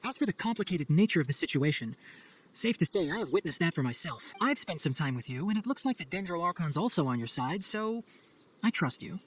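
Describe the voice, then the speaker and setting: A man's voice: on the high side, big pitch swings, brisk. A man playing an earnest, pragmatic young forest scholar in a video game, articulate and thoughtful. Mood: calm. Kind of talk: video game cutscene voice acting.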